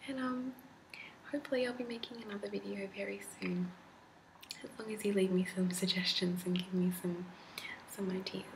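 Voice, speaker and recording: A young woman in her twenties whispers a rambling, chatty ASMR talk, laughing now and then. Her whisper is slightly deep and gravelly. The laptop recording is grainy, with a clear hiss behind her voice. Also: fairly even intonation, normal speed. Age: 20s. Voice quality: slightly deep whisper, not soft-spoken. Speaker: ASMR creator. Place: candlelit room at home. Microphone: laptop mic.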